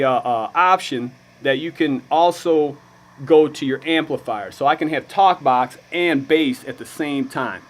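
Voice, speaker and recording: A man's voice: fairly high pitch, a bit bright. An American man giving an informal gear demonstration as a musician vlogger, with a slangy, laid-back way of talking. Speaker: musician presenting a band's vlog.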